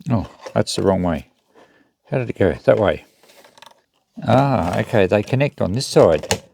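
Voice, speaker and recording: A man's voice: on the low side, expressive, unhurried, gravelly, darkish tone, slight background noise. A man giving casual, unscripted commentary as he thinks aloud through a hands-on repair job. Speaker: host of a repair channel.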